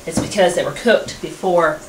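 A woman in her thirties hosting a cooking show, explaining each step in a plain, homey, unhurried way in a rustic wooden kitchen. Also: on the low side. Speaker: home cooking show host. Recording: noisy home video with kitchen clatter.

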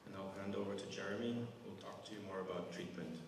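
Low-voiced man, distant and noisy hall. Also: little pitch movement, quick pace. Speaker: physician speaker. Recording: echoey hall from far off, noisy.

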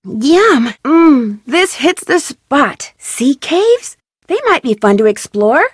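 A curious, upbeat young woman detective from a mystery game. She muses aloud in a chirpy, inquisitive way, her high voice bouncing around a lot. The sound is dry and close. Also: big pitch swings, slow pace, dry recording, heavily acted. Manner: chirpy, inquisitive self-talk. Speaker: game protagonist. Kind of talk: game voice lines.

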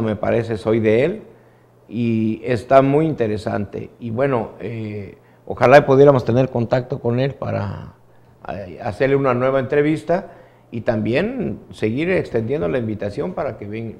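A middle-aged man chatting casually and a little haltingly as a news co-anchor in a studio. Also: mid-range voice, expressive, gravelly, a little mellow. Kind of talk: casual on-air chat.

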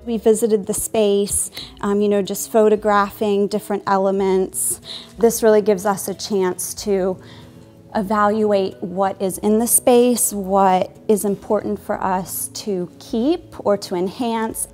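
Young woman with a flat, even delivery. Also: medium pitch, faint music behind. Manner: measured, with ums. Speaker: interior design student. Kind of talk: presenting a design proposal. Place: ornate synagogue hall.

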